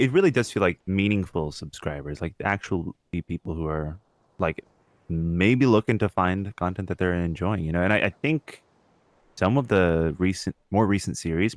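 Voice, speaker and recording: A man's voice: deep voice, dry recording. A man chatting casually and with slang as a podcast co-host.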